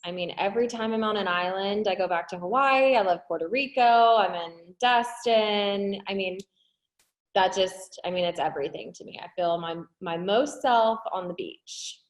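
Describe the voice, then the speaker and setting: A woman's voice: medium pitch, normal speed. A woman from the United States answering as an interview guest in a candid, earnest, casual conversational way. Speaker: interview guest, wedding planner.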